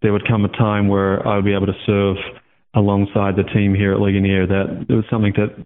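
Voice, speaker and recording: A man's voice: fairly low pitch, completely flat delivery, fast talker. Guest, Australian man, 30s, chatty and rambling, phone-line sound. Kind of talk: casual interview over the phone.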